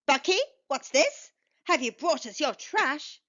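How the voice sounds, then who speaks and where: Woman voicing a bossy, high-pitched beaver foreman. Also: expressive, slow pace, a bit bright, lo-fi sound, full character voice. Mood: suspicious.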